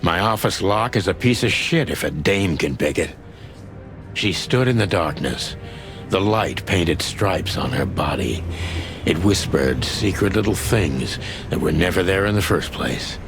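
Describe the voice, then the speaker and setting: Gravelly, very deep noir rooster detective. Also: male voice, measured pace, heavily acted. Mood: wry, brooding. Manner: world-weary, deadpan, hardboiled. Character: weary cynical aging rooster private detective, film noir. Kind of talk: noir first-person narration. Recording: studio voice under loud music.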